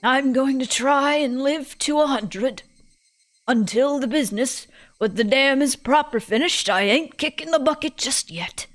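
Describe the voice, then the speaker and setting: A woman's voice: high-pitched, unhurried, faint music behind. A woman voicing a stubborn, long-winded old country woman who tells her stories in a folksy, emphatic way. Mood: defiant.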